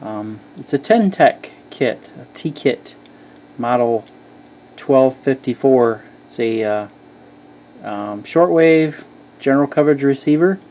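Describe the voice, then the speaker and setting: Male hobbyist reviewer, mid-pitched, soft-edged mellow tone, halting and conversational. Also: animated, slight background noise. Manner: halting, hesitant delivery with frequent pauses. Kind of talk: casual product walkthrough.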